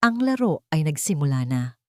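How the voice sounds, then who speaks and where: Low-voiced woman narrating dramatic fiction. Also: very animated, moderate pace, dry recording, studio quality. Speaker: story narrator. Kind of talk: scripted dramatic narration of a romance story.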